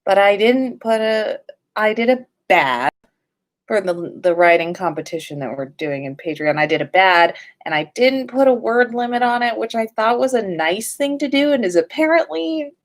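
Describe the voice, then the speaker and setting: A woman's voice: mid-range voice, lively intonation, normal speed. A woman hosting a casual livestream in a playful, informal way, sometimes sing-song and casually sweary. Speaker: livestream host.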